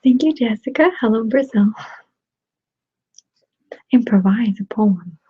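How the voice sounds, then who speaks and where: Woman, Russian accent, very husky whisper, slow and giggly, dull dry close-mic sound. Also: fairly high pitch, lively intonation, no room sound. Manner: soft whispering, giggly, answers viewer comments. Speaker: ASMR channel host. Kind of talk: whispered ASMR live chat. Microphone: desktop USB microphone.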